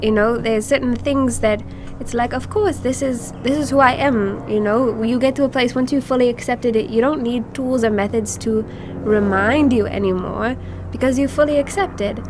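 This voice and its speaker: A woman's voice: fairly high pitch, moderate pace, background noise. A woman co-hosting a casual coaching chat, affirming and talking about working with clients, with many "you know"s. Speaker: manifestation coach, co-host.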